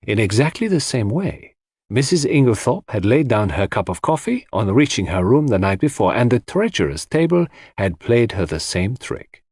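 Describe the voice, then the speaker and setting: A man voicing a shrewd, theatrical detective in an audiobook, speaking deliberately and dramatically with rhetorical questions as he lectures suspects. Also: on the low side, very animated, darkish tone, breathy edge, dry recording, studio quality. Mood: methodical, probing. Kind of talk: audiobook character dialogue.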